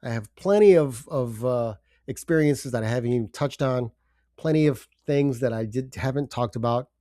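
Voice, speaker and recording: Older male podcast host with a head cold, stuffy and low-key, dark mellow tone, dry close sound. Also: dry recording. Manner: halting, subdued, with pauses and fillers. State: congested from a cold. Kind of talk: personal confessional monologue.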